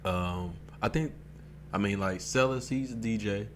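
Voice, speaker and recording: A man's voice: lowish voice, a little slow. A man hosting a casual podcast interview, easygoing and full of "okay" and "um". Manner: easygoing, lots of "okay" and "um" backchannels. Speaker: podcast host.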